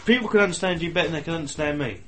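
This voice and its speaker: A man's voice: a little high, very fast, crowd noise. Mumbling sweary English podcast man. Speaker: podcast co-host. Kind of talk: casual banter.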